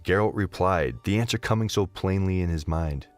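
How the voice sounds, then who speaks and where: A man's voice: deep voice, normal speed. A man narrating a scripted story as the narrator of an audio drama.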